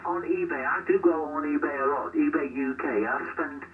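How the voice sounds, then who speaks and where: A man's voice: fairly high pitch, moderate pace. A man chatting as an amateur radio operator over shortwave, meandering and trailing off mid-thought. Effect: shortwave radio transmission. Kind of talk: casual chat over ham radio. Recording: tinny, distant, noisy shortwave radio reception.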